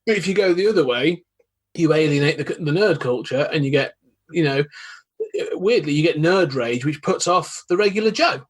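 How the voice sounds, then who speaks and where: Male podcast guest, husky and a touch high, hesitant casual talk. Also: expressive, normal speed. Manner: hesitant, lots of fillers and restarts. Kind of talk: casual nerd chat.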